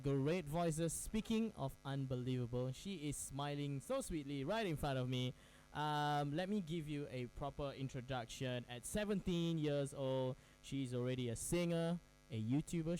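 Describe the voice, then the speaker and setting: A man's voice: fairly high pitch, normal speed, a little mellow, a little hiss or hum, rough recording. A man hosting a campus radio interview, chatty, laughing often, with lots of fillers and restarts. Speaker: radio host.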